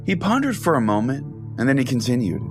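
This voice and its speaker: A man hosting a podcast, reading a scripted first-person comic story in a deadpan manner. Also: medium pitch, fast talker. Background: music.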